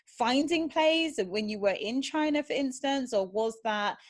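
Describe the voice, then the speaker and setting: A woman's voice: sits high, lively intonation, a little slow, dry recording, clean studio sound. A woman hosting a conversational interview, talking in an effusive, affirming and emphatic way. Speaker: podcast host.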